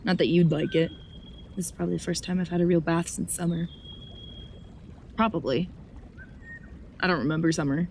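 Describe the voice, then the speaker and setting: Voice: medium pitch, fairly even intonation, normal speed, vocal fry, pauses often, darkish tone, slight background noise, close to an everyday voice. A performer playing a wry, lonely traveler in a naturalistic audio drama, casually and dryly muttering to themselves.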